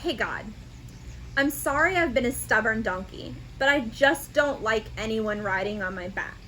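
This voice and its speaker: A woman's voice: fairly high pitch, animated, moderate pace, sharp tone, slight background noise, poor audio. A woman voicing a stubborn, huffy young donkey in a children's storybook, complaining in an exaggerated, indignant way. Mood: pleading. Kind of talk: children's storybook read-aloud.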